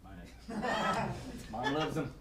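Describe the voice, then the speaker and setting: A man from Maryland in a comedy club audience, answering the comedian in a soft, hesitant way. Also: high-pitched, lively intonation, drawn-out words, vocal fry, over a noisy crowd. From Maryland, USA. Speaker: audience member. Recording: distant, echoey room mic, faint noise.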